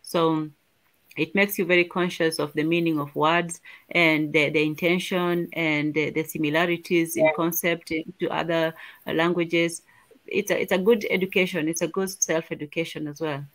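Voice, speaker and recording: A woman on a video call speaking in a measured, thoughtful way, often repeating words while she finds her thought. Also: low-pitched, fairly even intonation, fairly bright tone. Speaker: discussion panelist. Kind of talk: thoughtful conversational commentary.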